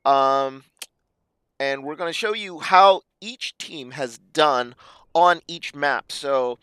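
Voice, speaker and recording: A man with a slightly raspy voice, previewing an esports match as commentator, halting with frequent fillers. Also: a little high, fairly bright tone, no echo, studio quality.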